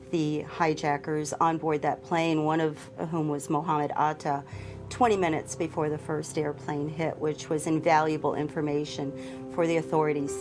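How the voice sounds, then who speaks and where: Low-voiced woman, measured recounting. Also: darkish tone. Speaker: flight attendant. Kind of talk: documentary interview testimony.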